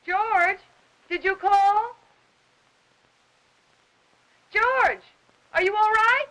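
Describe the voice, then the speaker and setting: An actress playing an anxious, weary young housewife in the style of a 1950s film noir. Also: female voice, very high-pitched, slow, sharp tone, full character voice, low-quality recording. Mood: anxious, calling out. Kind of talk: 1950s film noir acting, first-person narration.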